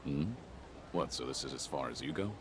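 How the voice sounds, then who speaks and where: An actor voicing a stoic, tough underworld fighter in a video game, speaking in a terse, serious, guarded way. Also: male voice, rumbling low, brisk, background noise. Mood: questioning.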